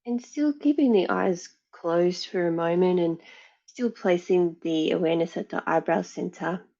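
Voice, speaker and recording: A woman yoga teacher leading a guided meditation in a measured, soothing way, pausing between phrases. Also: on the low side, vocal fry, dark tone, highs cut off.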